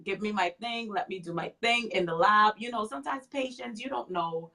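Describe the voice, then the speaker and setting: A woman's voice: medium pitch, normal speed, creaky, sharp tone. A woman in her thirties, a makeup artist and guest, speaking candidly in a casual interview and often repeating short phrases. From Freeport.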